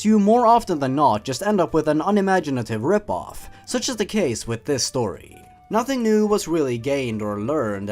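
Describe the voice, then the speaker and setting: A man's voice: on the high side, darkish tone. A man hosting a scripted review show, commenting in a theatrical, sardonic way. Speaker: host of a story-reading review channel. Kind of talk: scripted commentary with dramatic reading.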